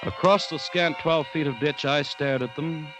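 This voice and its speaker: An actor playing a cautious, alarmed government commissioner, narrating a jungle ordeal in formal, suspenseful old-time radio drama style. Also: male voice, a little high, moderate pace, close to an everyday voice, poor audio. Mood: grave dread. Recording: vintage radio recording with background music.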